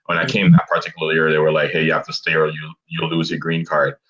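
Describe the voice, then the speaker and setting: A young man guest telling his story in a relaxed interview, with halting restarts and frequent laughter. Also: very deep voice. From abroad, grew up outside the US. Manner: laughs often, self-deprecating, halting restarts. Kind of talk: casual interview chat. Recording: dull lo-fi online call audio.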